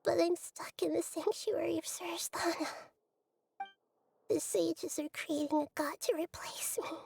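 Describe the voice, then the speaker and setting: A woman voicing a small childlike god of wisdom in a game, gentle and lonely, with a soft, breathy, hesitant delivery. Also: very high voice, steady tone, a little hiss or hum, exaggerated voice. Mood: solemn, wistful. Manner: hesitant, pensive, measured. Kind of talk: anime-style game voice acting.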